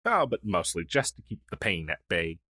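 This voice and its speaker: An earnest, flustered crow demon, acted by a man, swooping in pitch. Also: sing-song, moderate pace, bright, forceful tone, dry recording, studio quality, heavily acted. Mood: warm, explanatory. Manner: earnest, flustered, hesitant stammering. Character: friendly, down-on-his-luck male crow demon, former witch's familiar. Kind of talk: ASMR fantasy audio roleplay.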